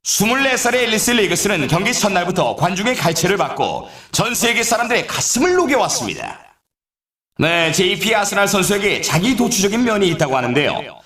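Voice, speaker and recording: A man's voice: high-pitched, very animated, fairly quick, a bit bright. A man playing an upbeat snowboard race announcer in a video game, with a dramatic, showy commentary delivery. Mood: enthusiastic. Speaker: sports announcer. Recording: echoey, distant sound.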